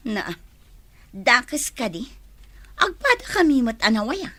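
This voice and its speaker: A woman playing an uneasy, defensive grocery seller in an audio drama, conversational and expressive. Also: fairly high pitch, slow, no echo, heavily acted.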